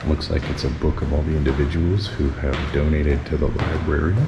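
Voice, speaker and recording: Male vlogger, very deep, low-key walk-through narration, echoey with loud road noise. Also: little pitch movement, a little fast, highs cut off. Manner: low-key, hushed indoors, filler-heavy. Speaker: vlogger filming a public building. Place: outside and inside a public library.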